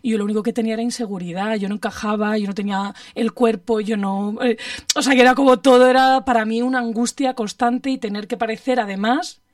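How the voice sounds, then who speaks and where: A woman's voice: a little high, fairly even intonation, fast talker, no room sound, studio quality, far from the mic. A middle-aged woman with an accent from Spain, talking candidly and casually, often hesitating and rephrasing as she confides. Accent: Spanish, from Spain. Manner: hesitant, self-correcting, confiding, question tags.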